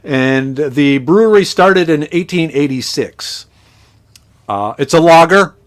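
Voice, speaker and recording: A man's voice: a little high, lively intonation, slow. A middle-aged man from Minnesota, a podcast guest who tells stories in a chatty way, with many restarts, over a remote call. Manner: chatty storyteller with frequent restarts. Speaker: podcast guest, chemist and foraging instructor. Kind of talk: casual podcast conversation.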